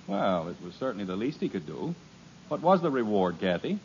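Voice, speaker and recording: A man's voice: on the low side, very animated, brisk, a little mellow, a little hiss or hum. An actor playing an exasperated middle-aged family father in a 1950s radio sitcom, grumbling and indignant. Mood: fussed. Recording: dull lo-fi 1950s radio recording.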